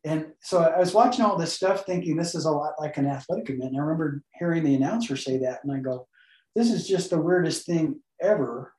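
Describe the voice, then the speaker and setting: A man with a fairly high voice speaks earnestly, stringing items into lists as he explains. He is recorded in a room with a noticeable echo. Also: fairly quick, darkish tone. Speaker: guest, co-author. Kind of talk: podcast interview.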